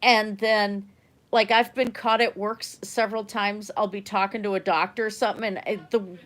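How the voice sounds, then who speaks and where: A middle-aged woman who spoke German first chats easily with her livestream audience. Her voice sits at a plain, middle pitch. She rambles from one thought to the next, laughs often, and lets her sentences fade off quietly. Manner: chatty, trails off softly, laughs often. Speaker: livestream art host. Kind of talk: casual rambling chat with viewers.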